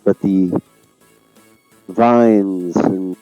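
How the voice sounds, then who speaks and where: Man, very low voice, game master narration, unhurried, dark tone, muffled headset sound. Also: fairly even intonation, no room sound, soft background music. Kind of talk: narrating a tabletop game over voice chat. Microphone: headset over voice chat.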